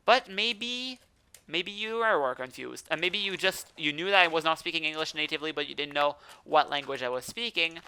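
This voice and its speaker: A man speaking English with a French accent hosts a relaxed gaming podcast. His voice is very bright and smooth, and he rambles, restarting sentences as he goes. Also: fairly high pitch, normal speed. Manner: rambling, self-correcting, chatty. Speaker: let's play host. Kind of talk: casual podcast chat while gaming.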